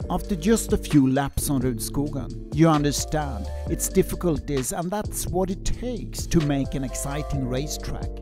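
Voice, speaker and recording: A middle-aged man, reading a measured, scripted voice-over narration. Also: fairly high pitch, over music. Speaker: car vlogger narrating.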